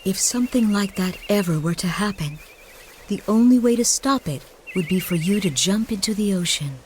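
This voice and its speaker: A woman acting as a gentle mother in a video game cutscene, with a medium-pitched voice. She speaks tenderly and patiently, like a wise parent explaining to a small child, over faint ambient noise. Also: lively intonation, moderate pace, lightly acted. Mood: grave, gentle. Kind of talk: scripted game cutscene dialogue.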